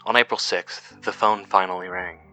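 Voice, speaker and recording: A man acting as a weary male cave worker recording a horror audio log. His voice is low and forceful but stays flat and measured, with somber literary phrasing over instrumental music. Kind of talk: scripted first-person horror podcast narration.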